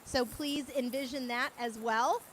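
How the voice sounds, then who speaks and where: A woman's voice: high voice, steady tone, measured pace, a little hiss or hum. A woman hosting in a casual, chatty style, with a playful and effusive manner. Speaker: host. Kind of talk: casual chat.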